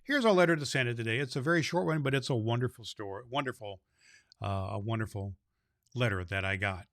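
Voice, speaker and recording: A man's voice: mid-range voice, quick pace, a bit bright, dry recording, studio quality, natural voice. A man playing a kindly, jolly old Santa Claus, hosting a children's show in a folksy, effusive way. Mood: jolly, affectionate. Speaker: host.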